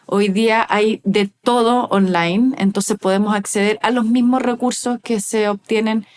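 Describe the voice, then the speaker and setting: Woman, researcher guest on a podcast, mid pitch, lots of ums and restarts, casual chat. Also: fairly even intonation, moderate pace. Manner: thoughtful, hesitant, many "eh" fillers and restarts. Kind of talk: casual interview conversation.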